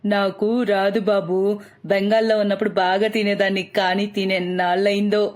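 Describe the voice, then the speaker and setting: A woman voicing an expressive, doting middle-aged mother and mother-in-law in a cartoon story. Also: mid-range voice, moderate pace, close to an everyday voice. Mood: delighted.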